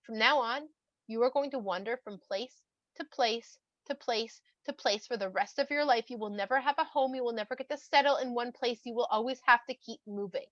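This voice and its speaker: A woman's voice: fairly high pitch, a little fast, fairly bright tone, dry recording, muffled recording, close to an everyday voice. A woman voicing God as a patient, kindly figure who turns firm when scolding. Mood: stern. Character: God, a patient, kindly, then stern parent.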